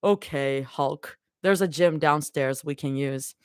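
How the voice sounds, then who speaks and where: A woman voicing a dry, unimpressed young woman in a low, deadpan voice while reading a story aloud. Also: slow, smooth, dry recording.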